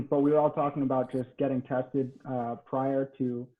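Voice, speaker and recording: An actor on a video call, speaking politely and joking about himself. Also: male voice, medium pitch, little pitch movement, normal speed. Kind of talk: casual video-call meeting talk. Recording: tinny phone-like call audio.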